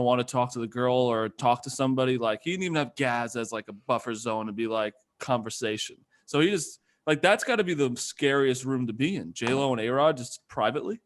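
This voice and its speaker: A man co-hosting a sports podcast, telling stories in casual, animated banter and swearing freely. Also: mid-range voice. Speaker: podcast co-host.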